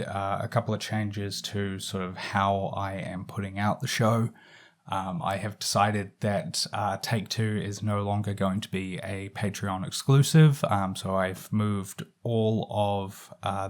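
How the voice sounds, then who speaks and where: A young man hosting a solo podcast speaks with a Southern New Zealand accent and rolls his R's. His voice sits a little low, and he muses aloud in a halting way, full of fillers and restarts. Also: fairly even intonation. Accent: Southern New Zealand, rolled R's. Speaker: podcast host.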